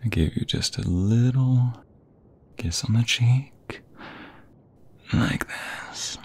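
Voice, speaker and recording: A man playing an affectionate, flirty husband in an intimate ASMR roleplay, with a breathy, husky, gravelly voice that often drops to a half-whisper. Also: medium pitch, takes it slowly, a little mellow. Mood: tender, flirtatious.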